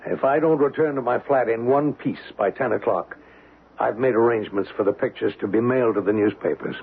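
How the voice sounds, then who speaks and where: An actor playing a cocky American blackmailer in a radio drama, brash, terse and calculating. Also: male voice, mid-range voice, brisk, slight background noise, natural voice. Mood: businesslike, demanding. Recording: muffled phone-like old radio recording.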